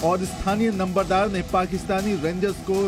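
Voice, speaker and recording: An Indian man delivers scripted news narration as a reporter's voice-over. His voice is notably high for a man. Music runs clearly behind every line. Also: steady tone, moderate pace. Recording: voice-over with prominent music bed.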